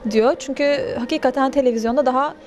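A woman's voice: high voice, fairly even intonation, fast, a little mellow. A woman in her thirties answering interview questions in a cafe into a handheld microphone, chatty and explaining at length. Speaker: interviewee, actress. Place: pink-walled cafe indoors. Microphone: handheld interviewer mic.

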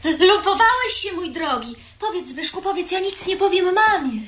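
A woman's voice: very high voice, lively intonation, normal speed, fairly bright tone. An actress playing a bold, teasing, impulsive teenage girl in a theatrical radio drama. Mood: taunting, defiant. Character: bold, teasing, mischievous teenage girl, eager for grown-up secrets. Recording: noisy 1970s radio play recording.

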